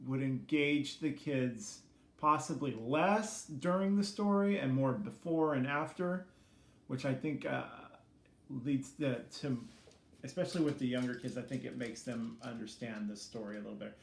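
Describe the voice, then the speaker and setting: A middle-aged man giving casual, reflective interview answers in a hesitant, halting way, as a student teacher being interviewed. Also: medium pitch, gravelly. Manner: hesitant, halting, self-critical. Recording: echoey room with faint background noise.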